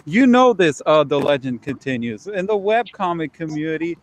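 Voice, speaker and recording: A fairly high-voiced man who talks frankly and chats easily on a call. There is faint noise in the background. He often answers with quick agreement. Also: lively intonation. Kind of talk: casual voice-call discussion.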